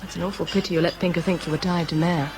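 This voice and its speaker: An actress playing a sharp, exasperated young woman in a radio drama, with a British accent and an emphatic, scolding delivery. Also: lowish voice, steady tone, very fast, faint music behind, lightly acted. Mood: impatient.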